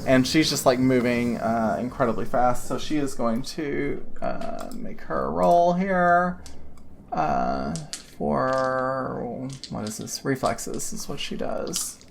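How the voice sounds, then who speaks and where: A woman's voice: extremely low pitch, sing-song, slow pace, vocal fry, slight background noise. A woman narrating a tabletop game as its game master, rambling with lots of fillers and laughing often.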